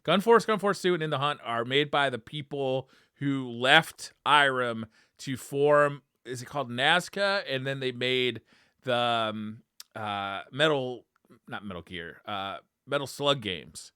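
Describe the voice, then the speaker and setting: A man's voice: medium pitch, lively intonation, measured pace, bright, forceful tone, clear, resonant tone, dry recording, studio quality. A man co-hosting a podcast, chatting casually and with enthusiasm about games. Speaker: podcast co-host. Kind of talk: casual gaming chat with commentary.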